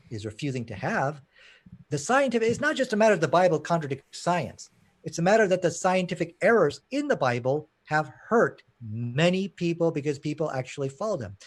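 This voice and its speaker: A man from Pakistan speaking as a debater, arguing in an emphatic, challenging way. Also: fairly high pitch. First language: not Arabic; Arabic learned as second language. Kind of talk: impassioned debate argument.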